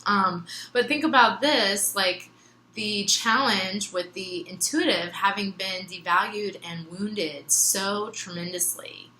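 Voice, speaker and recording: A young woman with a bright, forward tone talks through her thoughts in a relaxed, earnest ramble, full of fillers and small laughs. English is her second language. The room around her rings noticeably, with a faint ambient hiss underneath. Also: mid-range voice, slow pace, echoey room, poor audio. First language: other than English. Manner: meandering, earnest, laughs often, many fillers. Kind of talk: reflective casual monologue.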